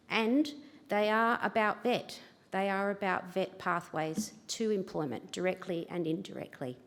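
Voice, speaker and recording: An Australian woman, a government education manager, giving a chatty, self-deprecating conference talk at a lectern microphone. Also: medium pitch. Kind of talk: conference presentation. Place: large convention hall. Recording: reverberant hall, livestream.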